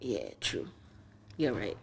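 A woman's voice: a bass voice, big pitch swings, slow, creaky, husky. A young woman co-hosting a casual podcast chat, blunt and emphatic in her opinions. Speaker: podcast co-host.

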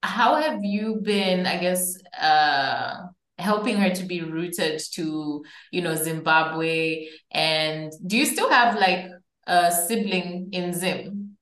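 A woman from Zambia, hosting an interview and asking measured, thoughtful questions. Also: lowish voice, fairly bright tone. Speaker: interviewer, host. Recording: roomy echo.

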